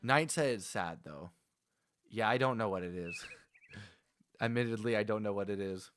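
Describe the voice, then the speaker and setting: Guy streaming a game, deepish voice, rambles and talks to chat by name. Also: normal speed, a bit bright, smooth, faint music behind. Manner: chatty, rambling, frequent restarts and laughs, talks to viewers by name. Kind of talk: casual livestream chat while gaming.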